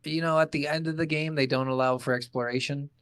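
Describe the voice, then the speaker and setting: A man's voice: on the high side, fairly even intonation, quick pace, fairly bright tone, clean tone, no room sound, clean studio sound. A man co-hosting a podcast, chatting casually about video games in a blunt way and swearing freely. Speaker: podcast host. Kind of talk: casual video game review chat.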